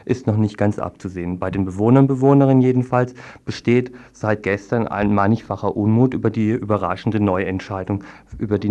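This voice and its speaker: A man's voice: fairly low pitch, darkish tone, muffled recording. A man working as a radio reporter, reading a report and interviewing in a formal, wordy way. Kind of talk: scripted news report and studio interview.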